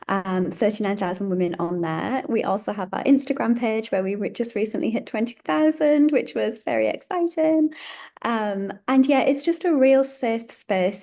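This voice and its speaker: A woman's voice: medium pitch, dark tone. A woman guest on a podcast, chatty and friendly, with frequent um fillers as she explains her work. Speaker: guest, marketing director. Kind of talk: podcast interview.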